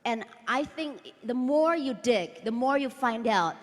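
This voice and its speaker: A woman's voice: on the high side, animated, a little slow. A woman from Indonesia in her thirties giving an earnest motivational talk to a conference audience, often repeating words and asking the crowd questions. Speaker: conference speaker. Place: large hall. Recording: long hall reverb.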